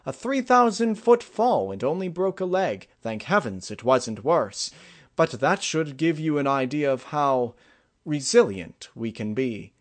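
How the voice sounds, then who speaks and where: A poised royal pony mare performed by a man for a storybook. The voice is lifted high and speaks formally, with a genteel, fond elder-sister manner. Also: expressive, a little slow. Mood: fond, amused.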